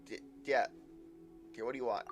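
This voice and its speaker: A male game streamer talks casually in a mid-pitched voice. His commentary is halting and rambling, with repeated words, over faint game music. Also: steady tone. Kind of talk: casual live gameplay commentary.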